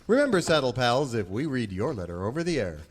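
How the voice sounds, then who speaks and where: A man's voice: medium pitch, sing-song, fast. An actor playing a polite, earnest singing-cowboy host, the folksy straight man of a comic western radio show. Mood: courteous, apologetic.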